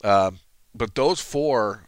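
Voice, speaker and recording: A man talks through college football recruiting with quick, assured analysis and a dry wit. His voice is smooth and clear, recorded close and dry with no room sound. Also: medium pitch, dry recording. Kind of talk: casual sports talk.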